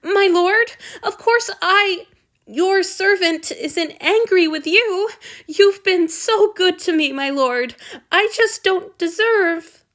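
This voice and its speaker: A woman's voice: very high-pitched, slow pace, fairly bright tone, voice pushed up, full character voice. A woman voicing a distraught young male servant who grovels, wails apologies and breaks off in sniffles, in a storybook reading. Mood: tearful.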